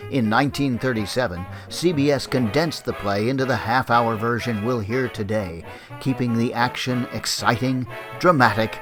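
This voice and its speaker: A man announcing a radio drama programme in deliberate, emphatic scripted narration. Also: mid-range voice. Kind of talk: scripted narration, show introduction. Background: music.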